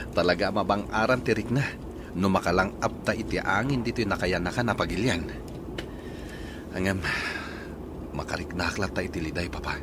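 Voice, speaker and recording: A man's voice: low voice, noisy background, close to an everyday voice. An actor with an Ilocano Filipino accent playing a headstrong grown son who longs for his homeland, in a radio drama.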